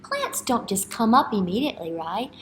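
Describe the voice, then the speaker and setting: A woman in her thirties speaking as an early-grade teacher, reading a picture book aloud in an expressive, instructional way and checking understanding with questions. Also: on the high side, moderate pace, slight background noise. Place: indoor school classroom.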